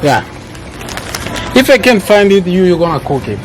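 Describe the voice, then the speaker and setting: A man's voice: high-pitched, big pitch swings, moderate pace. A man vlogging in a casual, playful way, chatting with vendors at an outdoor market. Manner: playful, chatty, asks strangers questions. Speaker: travel vlogger. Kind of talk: casual street vlog chat. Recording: noisy crowded street. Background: busy crowd and traffic.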